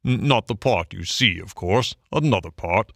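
A vain, boastful toad of a storybook, lower and slower than the narrator, pompous and wheedling, with a gravelly grain, as a man reading aloud puts him on. The sound is close and dry. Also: expressive, a bit bright, no room sound, exaggerated voice.

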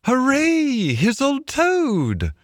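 A small, excitable storybook mole, with a very high, squeaky voice. He exclaims eagerly and grumbles just as readily, his pitch leaping about in a close, dry recording. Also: a little slow, breathy edge, no room sound, heavily acted. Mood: delighted. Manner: exclamatory, effusive. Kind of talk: storybook character voice.

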